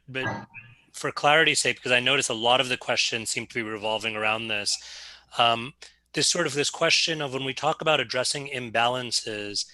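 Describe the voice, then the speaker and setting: Bright-voiced polite man moderating. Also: mid-range voice, no room sound. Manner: hesitant, repeats words, polite. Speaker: webinar host and moderator. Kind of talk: moderating questions on a video call. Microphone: video call.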